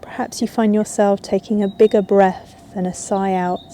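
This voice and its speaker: A woman yoga teacher guiding a relaxation in a soothing, unhurried way with long pauses. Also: medium pitch, fairly even intonation, dark tone, slight background noise. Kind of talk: guided yoga nidra relaxation. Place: outdoors in a garden. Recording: outdoor ambience with birdsong. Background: birds and garden ambience.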